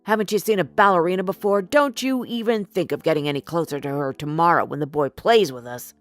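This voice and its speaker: A woman's voice: lowish voice, fairly quick, no echo, lightly acted. A jealous, bossy little toy troll acted out by a woman from a children's fairy tale. The voice scolds and gives orders in a dry, close recording, with faint music underneath. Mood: threatening, jealous. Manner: scolding, commanding. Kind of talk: children's storybook character voice.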